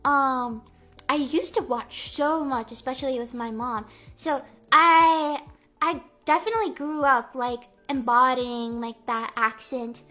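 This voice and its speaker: A young woman from the Midwest chatting playfully on a live stream, answering chat guesses, full of "like" fillers and drawn-out vowels. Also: sits high, pushed and bright. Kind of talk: casual live-stream chat reading comments.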